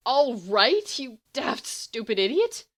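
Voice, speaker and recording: Earnest, irritable teenage boy, acted by a woman reader, halting and flustered, lively pitch, audiobook. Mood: tense. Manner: halting, stammering.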